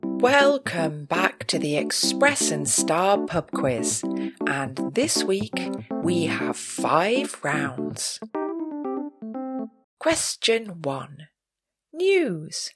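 A woman reading out a scripted pub quiz as host, in a measured, announcing manner. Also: low-pitched, sing-song, vocal fry, loud music behind the voice.

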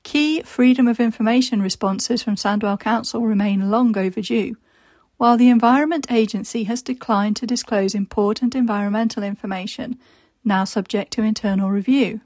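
Female reader, fairly high voice, dry close recording, careful measured delivery. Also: fairly even intonation, darkish tone, no room sound. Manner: measured, deliberate reading, slowing on lists. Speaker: newspaper reader for listeners. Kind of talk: reading articles and a reader's letter aloud.

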